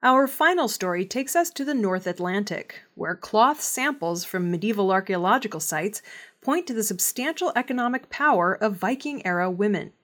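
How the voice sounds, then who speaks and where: A woman reading scripted news in a measured, formal delivery. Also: medium pitch, expressive, fairly bright tone. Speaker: news reader.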